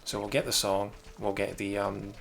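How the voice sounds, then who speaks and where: Low gravelly mildly Scottish man. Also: monotone, normal speed, fairly bright tone. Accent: mild Scottish. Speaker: game streamer. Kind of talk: casual live gameplay commentary. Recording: game music playing behind.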